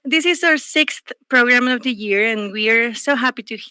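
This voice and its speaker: Female radio host, native Spanish speaker. High, bright voice, conversational program delivery. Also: normal speed, clear, resonant tone, no echo, clean studio sound. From El Salvador.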